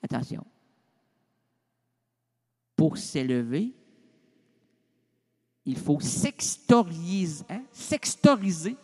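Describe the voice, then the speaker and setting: A man's voice: on the high side, big pitch swings, extremely slow, pauses often, a little mellow, slightly rough. A middle-aged man with a Québécois French accent hosting a radio show, in theatrical and playful improvised banter that jumps between registers. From Quebec.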